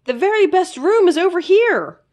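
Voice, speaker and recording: A woman's voice: extremely high pitch, animated, moderate pace, darkish tone, pitched above the performer's own voice. A woman voicing a bold, playful, adventurous boy mouse in a children's storybook, eager and urging.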